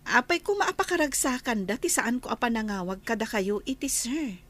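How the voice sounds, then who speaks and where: A woman's voice: on the high side, big pitch swings, normal speed, a bit bright. A woman playing a hesitant, demure young female employee in a Filipino radio drama. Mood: flustered, guarded.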